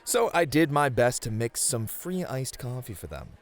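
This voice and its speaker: A man voices a bashful male bunny host for a flirty audio roleplay. The voice is medium in pitch with lively swings. He sounds easily flustered and a little hesitant, protesting in a playful way. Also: moderate pace, close to an everyday voice. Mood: wry, storytelling. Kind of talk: flirty ASMR roleplay acting.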